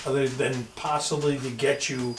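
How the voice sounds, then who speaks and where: A man's voice: mid-range voice, level tone, moderate pace. A man, a firefighter, telling stories in casual conversation in a workshop. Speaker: firefighter in a panel chat. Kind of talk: casual chat, storytelling. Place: indoor workshop. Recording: echoey room, distant mic, background noise.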